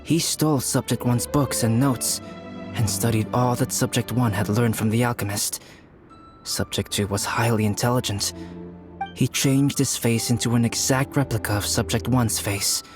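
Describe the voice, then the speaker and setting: A man voicing a composed young male alchemist in a video game, calm and measured with a dry, deadpan humor. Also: mid-range voice, lightly acted. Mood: storytelling. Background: game music.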